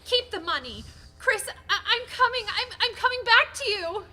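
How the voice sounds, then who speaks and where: A woman's voice: very high-pitched, lively intonation, normal speed, bright, forceful tone, exaggerated voice. A woman playing a wistful, lonely woman longing for an old sweetheart, gushing and melodramatic in a comic radio theater sketch. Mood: yearning, impulsive.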